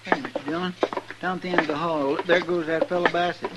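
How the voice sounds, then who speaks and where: A man's voice: a little high, steady tone, fast, natural voice. Folksy western sidekick played by a man, noisy recording. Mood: alert. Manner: folksy, rustic phrasing. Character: folksy, deferential male western marshal's sidekick. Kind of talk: 1950s western radio drama acting. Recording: very noisy old radio recording.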